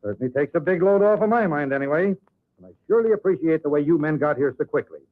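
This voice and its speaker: An actor playing an earnest, businesslike official in an old-time radio western. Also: male voice, fairly high pitch, lively intonation, fast, narrow, tinny audio, close to an everyday voice. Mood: concerned. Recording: thin old radio recording.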